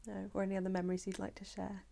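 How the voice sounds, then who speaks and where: A woman interviewing someone for an oral history project, asking gentle, polite questions. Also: medium pitch, completely flat delivery, racing, creaky.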